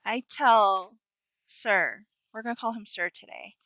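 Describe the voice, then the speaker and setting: A soft-spoken young woman co-hosting a casual podcast, with a bright, crisp tone. Her voice sits close and dry, with no room sound around it. She is easygoing and agreeable, often chiming in to back up her partner. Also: medium pitch, unhurried, no room sound, rough recording. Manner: easygoing, agreeable, lots of backchannel. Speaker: podcast co-host. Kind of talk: casual chat.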